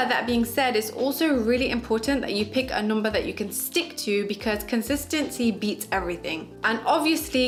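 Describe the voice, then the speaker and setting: A British woman in her twenties, a social media marketer, answering viewer questions in a chatty, upbeat vlog. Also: fairly high pitch. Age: 20s. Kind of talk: casual Q&A vlog.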